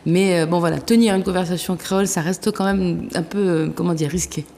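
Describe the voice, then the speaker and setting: A woman's voice: on the low side, steady tone, fairly quick, warm tone. A woman in her fifties from Réunion, chatting as a guest in an interview and laughing often. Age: 50s. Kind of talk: casual interview chat. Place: TV studio. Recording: reverberant room with faint noise.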